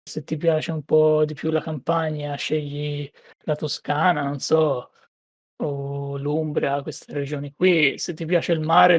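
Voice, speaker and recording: A man's voice: on the high side, no echo. Hesitant man, dry sound. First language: Italian. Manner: hesitant, full of "uh" fillers. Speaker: podcast guest. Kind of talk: casual chat.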